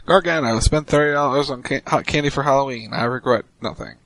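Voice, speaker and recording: Male co-host, mid-pitched, laid-back tweet reader, dry close mic. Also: fast talker, creaky, no room sound. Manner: relaxed, joking asides between quotes. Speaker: podcast co-host. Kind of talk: casual chat reading tweets aloud.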